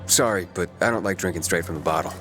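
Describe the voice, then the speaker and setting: Man playing a low-voiced, self-assured young hero. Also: quick pace, lightly acted. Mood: casual, dry. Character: self-assured young male wanderer, video game hero. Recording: music under the voice.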